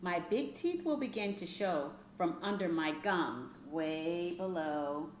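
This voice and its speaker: Expressive, slow-paced woman reading to children. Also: lowish voice. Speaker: teacher reading a children's book aloud. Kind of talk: expressive children's read-aloud. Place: small plain indoor room. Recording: roomy echo, faint background noise.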